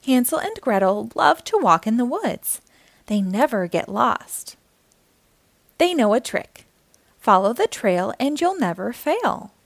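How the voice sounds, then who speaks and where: A woman hosting a children's storytime, reading aloud in an animated, encouraging way and speaking directly to young listeners. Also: on the high side, slow pace, gravelly, dry recording.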